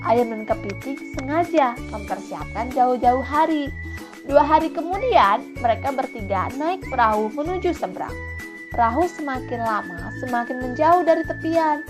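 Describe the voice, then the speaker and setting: A woman narrating a scripted children's fable as an expressive storyteller. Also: sits high, moderate pace. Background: children's background music.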